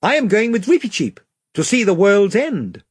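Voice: medium pitch, big pitch swings, normal speed, slightly rough, no room sound, studio quality, pitched above the performer's own voice. A reader voicing a proud young king who speaks in a regal, commanding way.

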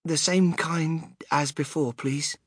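A man voicing a shy, hungry young boy who asks quietly and hesitantly. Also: fairly high pitch, fairly even intonation, slow pace, husky, no room sound, clean studio sound, voice pushed up, exaggerated voice. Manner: quiet, hesitant, half-whispered.

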